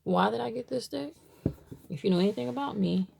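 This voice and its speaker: A woman's voice: on the high side, brisk, vocal fry, darkish tone, a little hiss or hum. A woman from Baltimore chatting casually to camera, rambling with many fillers as she shows items. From Baltimore, USA. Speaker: tarot deck reviewer.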